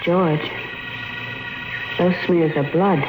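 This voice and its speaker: An actress playing an anxious, gentle wife and mother in classic radio drama, uneasy yet softly reasoning. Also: fairly low pitch, steady tone, very slow, loud music behind the voice. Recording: faintly noisy old radio recording.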